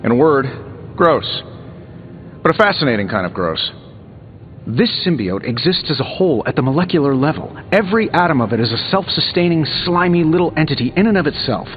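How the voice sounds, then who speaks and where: An actor voicing a witty, cocky armored superhero inventor in a video game, glib and sarcastic. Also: male voice, on the high side, lively intonation, brisk, close to an everyday voice. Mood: explaining, wry. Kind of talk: video game dialogue acting. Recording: slightly dull game audio under music.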